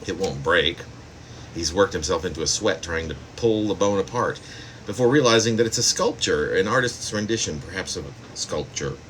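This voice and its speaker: A man's voice: deep voice, expressive, normal speed, a bit bright, a little hiss or hum, low-quality recording. A man reading his own poem aloud as a poet, in a spoken poetry-reading style.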